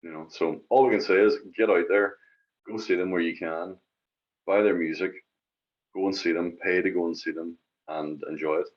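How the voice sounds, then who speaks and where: A man's voice: deep voice, steady tone, moderate pace, lo-fi sound. A Northern Irish man co-hosting a music podcast in casual chat, often repeating phrases for emphasis. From Northern Ireland.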